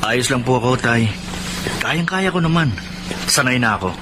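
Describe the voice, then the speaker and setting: An actor with a Filipino accent playing a dutiful, patient son of thirty in a radio drama. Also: male voice, medium pitch, expressive, moderate pace, natural voice. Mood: reassuring. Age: 30s. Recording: noisy radio drama recording.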